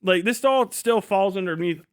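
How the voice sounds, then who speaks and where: A man's voice: sits high, lively intonation, normal speed, clean tone, dry recording, clean studio sound. A tired man casually chatting and ranting on a livestream as its host, rambling and bluntly swearing. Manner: rambling, blunt, heavily profane. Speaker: livestream host and video creator.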